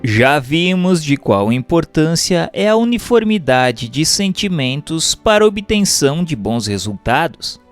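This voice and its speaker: A Brazilian man narrates in a formal audiobook style. He takes his time with each phrase, and his intonation rises and falls widely. Soft music plays behind his voice. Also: a little high. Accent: Brazilian Portuguese. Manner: formal, measured, expressive phrasing. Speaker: reader of a Spiritist text. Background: background music.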